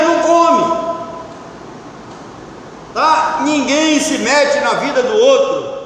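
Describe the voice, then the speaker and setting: A high-voiced pastor gives a conversational sermon, often pausing to check in with his listeners. His voice is picked up from a distance and rings around a large, echoing church hall with long reverb. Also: a bit bright, a little hiss or hum. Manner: conversational preaching, frequent "tá?" checks. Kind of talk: sermon teaching. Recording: echoey church hall from far off.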